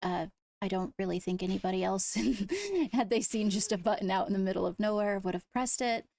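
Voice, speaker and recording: A woman speaking in improvised roleplay, leading a meeting in a measured, deliberative way. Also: medium pitch, animated, creaky, a crowd in the background. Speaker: role-player leading a court meeting. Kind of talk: improvised in-character roleplay talk.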